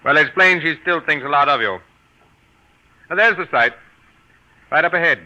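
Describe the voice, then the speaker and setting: A man's voice: on the high side, moderate pace, pushed and bright. An actor playing a coldly scheming, wealthy businessman in 1940s radio style, brusque and commanding. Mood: coolly pointed. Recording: dull vintage radio recording.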